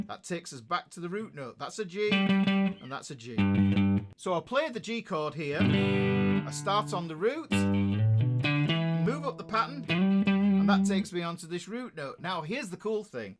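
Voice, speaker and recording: A man teaching a guitar lesson, explaining each step in an upbeat way while he plays. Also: a little high. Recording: loud guitar playing over voice. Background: guitar playing between phrases.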